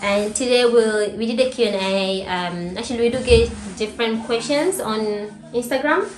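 A woman's voice: fairly high pitch, normal speed. A woman in her twenties chatting casually with her husband on a sofa at home as a video host. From Rwanda. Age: 20s. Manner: chatty, laughs often, trails off quietly. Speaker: vlogger co-host. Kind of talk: casual chat. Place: living room sofa, indoors. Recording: roomy with background music.

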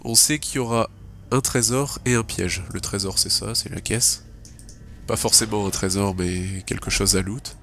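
A man's voice: fairly low pitch, moderate pace, creaky, fairly bright tone, slight background noise. A man with a cold giving casual French gameplay commentary, thinking aloud with hesitant fillers and English game terms mixed in. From French-speaking. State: has a cold. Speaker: let's play gamer.

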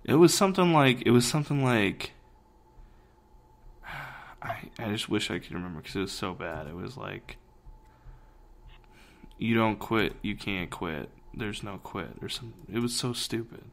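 Gravelly low-voiced man, dry and offhand. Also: expressive, unhurried, long pauses. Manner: dry, self-deprecating, mumbles while searching online. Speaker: podcast co-host. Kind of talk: casual chat.

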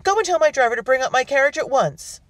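A storyteller voicing a haughty, suspicious society woman with a sharp, exclamatory manner in an audiobook. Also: mid-range voice, expressive, moderate pace, no echo, natural voice. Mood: shrieking panic.

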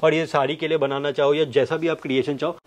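A man in his thirties giving a sales pitch to camera, listing things with repetitive emphasis. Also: little pitch movement, fast talker. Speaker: fabric manufacturer's representative presenting stock. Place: fabric showroom indoors. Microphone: clip-on wireless lapel mic.

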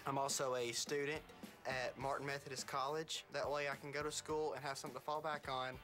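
A man's voice: medium pitch, little pitch movement, brisk, sharp tone, soft background music. A man in his twenties with a Southern accent, a contestant speaking plainly. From Tennessee. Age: 20s.

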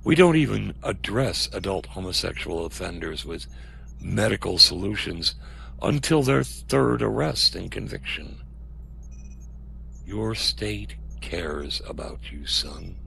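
An actor playing a menacing, paternal middle-aged security official in an audio drama, preaching with condescending, sermon-like cadences. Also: male voice, low-pitched, lively intonation, takes it slowly, creaky, a bit bright, slight background noise, exaggerated voice. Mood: gently menacing.

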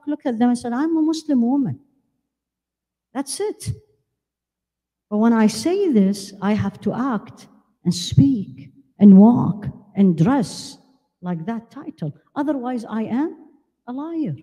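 An older woman born in Iraq, giving an animated religious lecture at a women's conference, holding a handheld mic and asking the audience questions. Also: a little high, a little slow, pauses often. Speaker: Islamic lecturer. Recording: long hall reverb.

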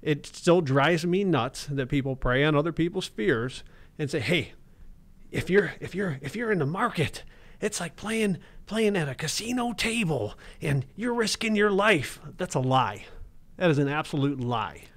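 A man's voice: fairly high pitch, lively intonation, measured pace. A man in his thirties, a financial advisor hosting a radio talk show from a small studio, talking emphatically with jokes. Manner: emphatic and joking. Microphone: studio boom mic with headphones.

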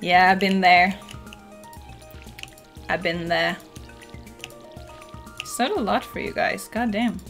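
A woman's voice: mid-range voice, slow pace, long pauses. A young woman from Norway with a Norwegian accent, chatting casually with viewers while playing a farming game at home, full of "like" fillers and the odd swear. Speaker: streamer. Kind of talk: casual chat while gaming. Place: home room, indoors. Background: soft game music.

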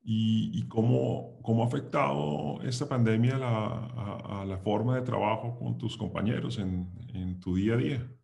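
Middle-aged male host, lowish voice with gravelly fry, conversational interviewing at a desk microphone. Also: normal speed, hardly any pauses, warm tone. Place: indoors, living room, video call. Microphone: desk microphone with headphones.

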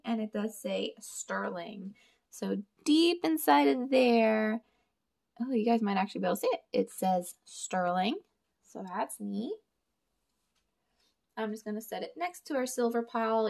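Chatty woman with a creaky voice. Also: fairly high pitch. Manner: chatty, thinking aloud, talks to viewers. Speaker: storage unit reseller and vlogger. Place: indoors at a table. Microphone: camera over a table, hands only in frame.

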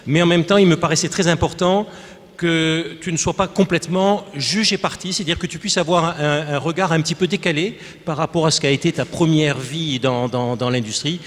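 A man's voice: on the high side, steady tone, brisk. An older man moderating a conference panel, formal and wordy, often hesitating and repeating himself. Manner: formal, wordy, many fillers and restarts. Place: conference stage. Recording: reverberant hall.

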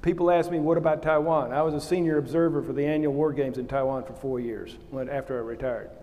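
An older man speaks bluntly and plainly on an auditorium stage, his voice sitting a bit high for a man. His words carry a long hall reverb around them. He sounds like a seasoned officer who says what he thinks. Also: fairly even intonation, quick pace, dark tone, a little hiss or hum. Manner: blunt, opinionated, plain-spoken. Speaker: panel guest, retired naval officer. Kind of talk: candid panel answer.

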